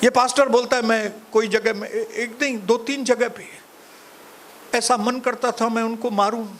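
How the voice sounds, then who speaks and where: A male pastor preaching a sermon, calling out to the congregation and later praying softly. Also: steady tone, a little hiss or hum. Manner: preaching, rising to shouted calls to the congregation; soft, low, slow in prayer. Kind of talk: sermon and closing prayer.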